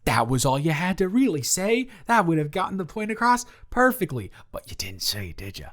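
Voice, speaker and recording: A man playing a flustered, goofy roommate confessing love to a friend, rambling casually and haltingly in an improvised audio roleplay. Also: a little high. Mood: animated, flustered. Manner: halting, stammering, animated, jokey.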